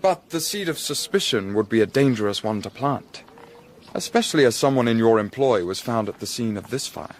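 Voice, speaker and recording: Man playing young gentleman sleuth, educated English accent, medium pitch, courteous, measured, dry irony, quietly determined, period radio drama, audible noise. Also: expressive, natural voice. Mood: quietly threatening. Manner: polite, measured, dryly ironic.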